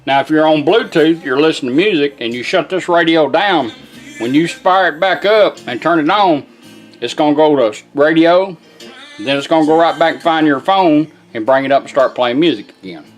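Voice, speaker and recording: Casting: male product demonstrator, slightly high voice, folksy and informal, walking through features casually with faint music behind. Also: expressive, moderate pace. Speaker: product demonstrator, car radio builder.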